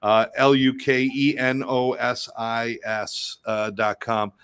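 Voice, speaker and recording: A man's voice: medium pitch, little pitch movement, slow pace, fairly bright tone, clear, resonant tone. A man co-hosting a show, upbeat and filler-heavy as he introduces the guest. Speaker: co-host.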